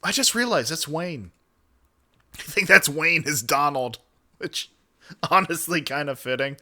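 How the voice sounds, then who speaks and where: A man's voice: a little high, lively intonation, measured pace, bright, forceful tone. A man streaming casual, rambling, profane reaction commentary.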